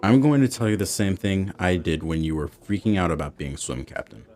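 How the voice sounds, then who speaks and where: A man's voice: sits low, a little fast, darkish tone. A man playing a protective, supportive middle-aged father in naturalistic audio drama dialogue. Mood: encouraging.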